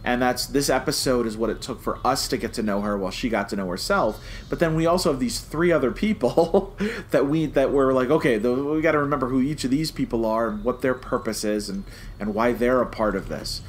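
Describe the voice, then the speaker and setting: A man's voice: medium pitch, brisk, slight background noise. A man co-hosting a review podcast in casual chat, explaining his points emphatically and repeating phrases to stress them. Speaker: podcast co-host reviewing a TV series.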